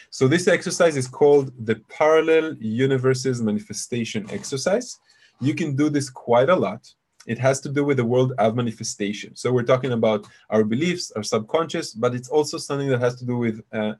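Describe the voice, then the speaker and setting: Deep-voiced man teaching on a webinar. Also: a little mellow. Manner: chatty, explanatory, addresses audience as "you guys". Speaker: meditation teacher hosting a webinar. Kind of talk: casual live webinar teaching. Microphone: computer mic on a video call.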